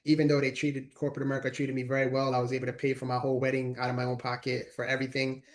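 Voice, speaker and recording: Quick, flat-voiced man in his forties. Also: medium pitch. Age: 40s. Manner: earnest, repeats words while talking. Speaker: guest, motivational speaker and author. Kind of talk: casual interview conversation.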